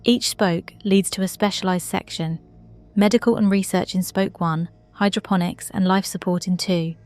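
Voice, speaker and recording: A woman's voice: on the low side, a little mellow, lightly acted. Young female space officer, dutiful and modest, reflective log monologue for sci-fi audio drama played by a woman.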